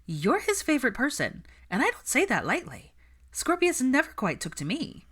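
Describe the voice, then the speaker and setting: A woman's voice: high voice, wildly swooping pitch, normal speed, a bit bright, breathy edge, pitched above the performer's own voice, exaggerated voice. A woman voicing a brash, teasing young witch who pries at her friend in a smug, dramatic way, in a story reading. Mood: teasing, probing.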